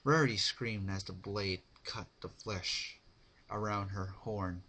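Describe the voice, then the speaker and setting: Casting: male narrator for horror fan fiction, low-key amateur storytelling, slow, halting, rough-edged and bright. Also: fairly even intonation. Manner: halting, stumbles over words.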